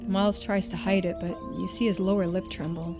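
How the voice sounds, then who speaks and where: A woman's voice: fairly low pitch, level tone, a little fast. A woman streamer reading a game's narration aloud and adding casual commentary. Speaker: streamer narrating a game playthrough. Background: game music.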